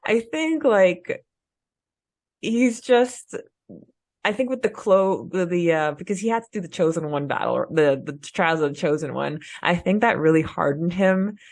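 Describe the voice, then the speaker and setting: A woman co-hosting a podcast, chatting casually as a fan in an informal, halting way. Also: on the low side, lively intonation, a little mellow, dry recording. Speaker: podcast co-host.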